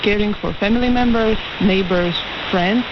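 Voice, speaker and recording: A woman's voice: mid-range voice, normal speed. A woman radio presenter reading scripted narration over a shortwave radio broadcast. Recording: hissy, noisy, muffled shortwave radio reception.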